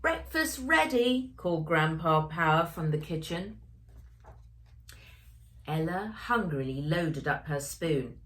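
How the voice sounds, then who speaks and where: A woman's voice: deep voice, takes it slowly, pauses often. A woman narrating her own children's story expressively, speaking directly to young listeners. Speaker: author reading own story.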